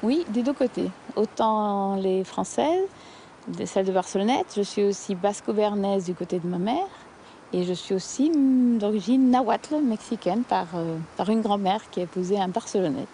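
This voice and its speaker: A woman who founded a heritage association, talking in a lively, chatty way during a conversation. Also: medium pitch, moderate pace, slight background noise. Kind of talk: interview conversation.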